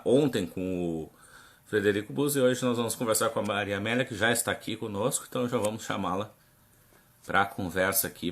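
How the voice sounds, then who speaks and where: Easygoing male host of a live chat. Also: lowish voice. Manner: relaxed, informal, many fillers like "né". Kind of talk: live online interview.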